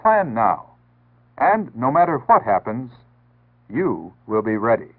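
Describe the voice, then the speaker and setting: An American man reading scripted 1960s public service narration in a measured, earnest, instructive manner. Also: mid-range voice, very animated. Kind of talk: 1960s civil defense PSA scripted narration. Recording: tinny band-limited 1960s broadcast audio.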